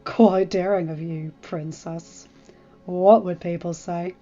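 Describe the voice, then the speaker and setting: A shy young man speaking softly with gentle amusement, as a woman reading the story puts him on. The recording is dull and lo-fi, with faint music behind it. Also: on the low side, fairly even intonation, slow. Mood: amused.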